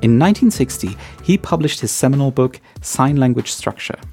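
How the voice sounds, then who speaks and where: A man hosting a podcast, giving scripted narration in a measured, explanatory way. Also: medium pitch, expressive, a little mellow, soft background music. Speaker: podcast host.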